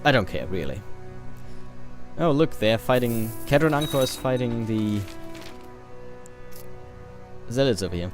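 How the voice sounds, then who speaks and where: A man's voice: mid-range voice, sing-song, normal speed, over music. A man giving casual gameplay commentary, offhand and joking, who reads game events aloud as he plays. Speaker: let's play host.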